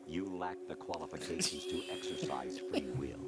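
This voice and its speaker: An actor playing a cold, condescending artificial intelligence posing as a military man in a video game cutscene, stern and deliberate in his lecturing. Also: sits low, over music, natural voice. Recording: game audio under loud commentator chatter.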